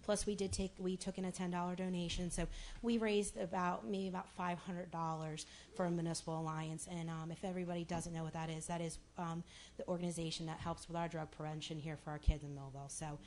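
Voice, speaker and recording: A woman with a raspy voice addressing a city commission meeting in a chatty way, with many fillers and restarts. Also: on the low side, level tone, quick pace, darkish tone, slight background noise.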